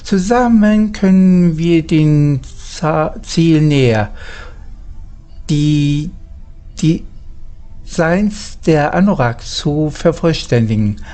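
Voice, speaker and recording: Measured, slow-paced formal voice-over. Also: medium pitch, mellow. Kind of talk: scripted German voice-over dialogue.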